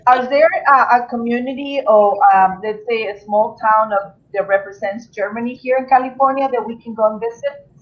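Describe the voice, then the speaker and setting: A woman's voice: a little high, moderate pace, lo-fi sound. Eager woman host asking questions. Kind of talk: interviewing guests.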